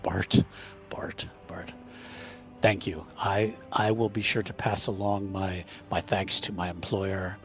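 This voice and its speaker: A man playing a humble, smooth-talking traveling servant in a tabletop game, fawning and grateful, repeating his polite words. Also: low-pitched, flat delivery, slow, fairly bright tone, muffled recording, faint music behind, full character voice. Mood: smooth, ingratiating.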